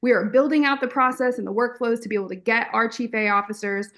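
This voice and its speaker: A woman coach co-hosting a live online community call over video, speaking in an upbeat, effusive way and warmly greeting attendees by name. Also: on the high side, steady tone, fast talker, lo-fi sound. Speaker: coach and co-host.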